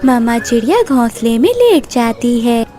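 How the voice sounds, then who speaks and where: A woman's voice: on the high side, sing-song, moderate pace. A woman narrating a scripted children's cartoon story as its storyteller.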